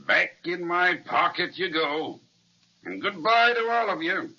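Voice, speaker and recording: A man playing a gentle, friendly, polite giant in a folksy way for an old-time children's radio serial. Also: sits high, sing-song, unhurried, full character voice. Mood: kindly, eager. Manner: folksy, kindly. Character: gentle, friendly, polite male giant stuck in a magic forest. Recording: muffled, lo-fi old radio recording.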